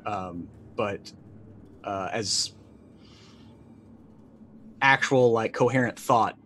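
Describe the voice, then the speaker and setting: Man in his forties, lowish voice, halting improvised character description, faint background noise. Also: fairly bright tone. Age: 40s. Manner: halting, thinking aloud. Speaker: player in a tabletop game.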